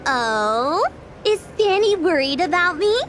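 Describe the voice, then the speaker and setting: Woman acting a very high-pitched, playful young goddess. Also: sing-song, takes it slowly, fairly bright tone, a little hiss or hum, exaggerated voice. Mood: teasing. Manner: coy, teasing, slangy. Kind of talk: audio drama acting.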